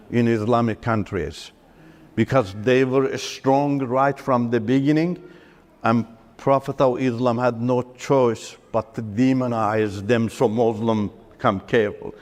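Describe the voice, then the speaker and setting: A middle-aged man from Iran, a Turkish speaker, giving an earnest personal faith testimony in halting, broken-up phrases. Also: medium pitch. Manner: earnest, halting, breaks up sentences with pauses and restarts. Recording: long hall reverb.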